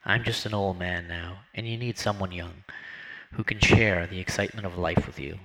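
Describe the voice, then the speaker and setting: Old man, devoted and insecure, gravelly low earnest delivery, man reading. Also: steady tone, moderate pace, bright, forceful tone, low-quality recording. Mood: pleading. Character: old man Norman, anxious, devoted, self-doubting.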